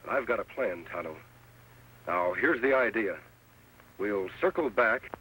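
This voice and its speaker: An American actor playing a steady, commanding masked cowboy hero in an old-time radio western. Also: male voice, fairly low pitch, a little slow, slightly rough, full character voice, low-quality recording. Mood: resolute. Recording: old radio broadcast with music behind.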